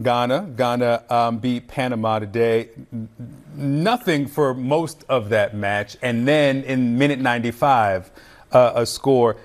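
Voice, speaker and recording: Man hosting a sports news segment. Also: mid-range voice. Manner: conversational, with fillers. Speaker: news host. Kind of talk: broadcast news interview.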